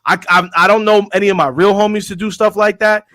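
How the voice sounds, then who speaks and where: A man's voice: high-pitched, steady tone, fast, sharp tone, no room sound, clean studio sound. A man hosting a casual podcast chat, laid-back and joking, with lots of restarts. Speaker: podcast host.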